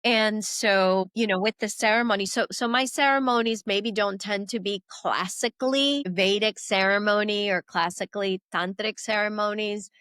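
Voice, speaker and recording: A woman's voice: mid-range voice, normal speed, few pauses, a bit bright, smooth, dry recording. Reflective woman from Venezuela, dry close mic. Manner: reflective, loose and conversational. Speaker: guest. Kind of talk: spiritual conversation.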